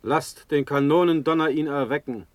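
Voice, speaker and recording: Actor as high, ringing, triumphant military herald. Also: male voice, normal speed, no echo, natural voice. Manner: loud, ringing proclamation. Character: rousing military courtier hailing a victor. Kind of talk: classical radio drama, declaimed cheering.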